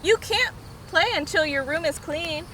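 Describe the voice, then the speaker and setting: A woman's voice: extremely high pitch, unhurried, pushed and bright, full character voice. A woman voicing a bossy, organized young boy in a children's storybook, fussy and emphatic. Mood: bossy, matter-of-fact. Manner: instructing, emphatic, screeching when upset. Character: bossy, organized boy, fussy cousin who panics easily. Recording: noisy outdoor camera recording.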